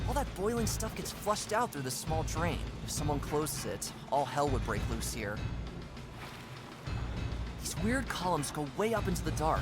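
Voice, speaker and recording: Plucky young animal game hero: curious, expressive, wry, animated intonation, sitting over prominent music. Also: medium pitch. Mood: alert. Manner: expressive, dramatic, wry exclamations. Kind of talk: cartoon adventure game voice acting, observational monologue. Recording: loud music under the voice.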